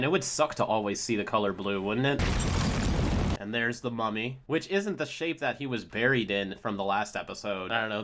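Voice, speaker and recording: A man's voice: medium pitch, a bit bright, slight background noise. A man hosting a cartoon review with casual, nitpicky, informal commentary that includes swearing. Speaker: host of cartoon review videos. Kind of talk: casual scripted episode commentary.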